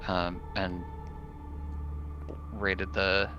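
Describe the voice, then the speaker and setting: A man playing a tabletop roleplaying game, talking through plans casually in a halting, hesitant way. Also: low voice, monotone. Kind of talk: casual in-game planning chat. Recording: dull, muffled lo-fi audio, faint music.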